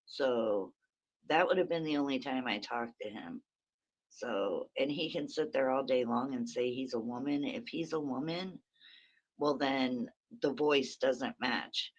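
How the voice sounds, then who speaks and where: A woman with a very raspy voice, hosting a rambling, chatty early-morning livestream from her phone and talking to viewers by name. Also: rumbling low, measured pace, highs cut off. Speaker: livestream host.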